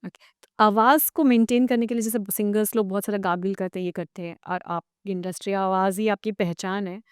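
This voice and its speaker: A woman's voice: medium pitch, fairly even intonation, brisk, smooth, dry recording, clean studio sound. A woman with an Indian accent, chatting casually as the host of an interview podcast.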